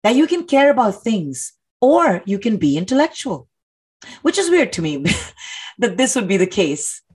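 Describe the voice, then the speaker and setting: A woman's voice: medium pitch, very animated, moderate pace, darkish tone, slightly rough. An actress playing an earnest, intellectual woman who challenges authority, speaking articulately and emphatically in dramatic dialogue. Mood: earnest, pained. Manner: articulate, emphatic, rhetorical questioning. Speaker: actor in a stage play reading.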